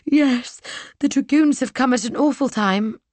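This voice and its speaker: Woman reading as a young lady, sly, polite, feigning weakness, higher bright voice, dry sound, storybook reading. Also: measured pace, no echo. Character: young gentlewoman feigning illness, cunning and composed.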